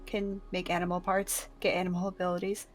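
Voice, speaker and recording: A woman's voice: on the low side, completely flat delivery, fairly quick, soft background music, lightly acted. A woman playing a shy, self-effacing beast-form mage in a fantasy roleplay, tentative and unassuming.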